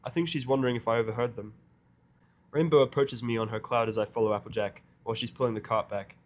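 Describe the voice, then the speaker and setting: A man's voice: mid-range voice, fairly even intonation, fast, lo-fi sound. A man narrating a first-person story in character, with a dry, sardonic manner. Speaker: audiobook narrator.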